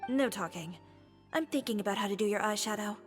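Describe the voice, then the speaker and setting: A woman's voice: medium pitch, fairly quick, big-room sound, soft background music, close to an everyday voice. Woman as a blunt, curt young makeup artist. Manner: terse, cool, impatient. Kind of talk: video game cutscene voice acting.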